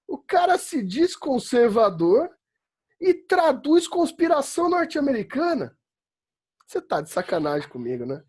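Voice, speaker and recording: A man's voice: high voice, darkish tone, husky. A man from São Paulo with a Paulista accent, teaching an online law philosophy class in a chatty, emphatic way, often asking "né?". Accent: Brazilian Portuguese, São Paulo. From São Paulo, Brazil. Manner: chatty, emphatic, rising to exasperated rants, frequent "né?". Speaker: law school philosophy professor. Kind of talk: online lecture. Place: home, online class during quarantine.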